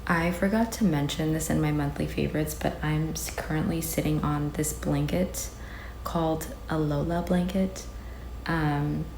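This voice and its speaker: Sleepy-voiced young woman vlogger, low pitch. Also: fairly even intonation, measured pace, gravelly. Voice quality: soft, sleepy voice. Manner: rambling, filler-heavy, candid. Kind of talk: casual chat to camera. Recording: echoey room with noise.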